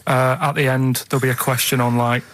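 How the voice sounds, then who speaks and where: A man in casual, unscripted radio banter, explaining things in a halting, vague, colloquial way as a show regular. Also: mid-range voice, little pitch movement, fairly bright tone, a little hiss or hum. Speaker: radio show regular presenting a quiz segment.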